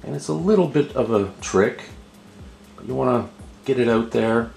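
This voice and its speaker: A man's voice: medium pitch, expressive, moderate pace, vocal fry, a little mellow, soft background music. A man narrating an unscripted step-by-step tutorial, explaining patiently and repeating himself as he goes. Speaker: host of a how-to video. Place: indoor room at a table. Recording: roomy, echoing indoor voice recording.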